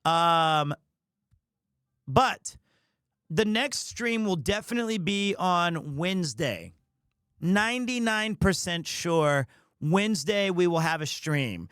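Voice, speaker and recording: A man's voice: high-pitched, animated, takes it slowly, a bit bright, smooth, no echo, clean studio sound. A man hosting a livestream, chatting casually in a rambling, opinionated and playfully defensive way. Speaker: live streamer, host of the show. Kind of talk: casual livestream chat with viewers.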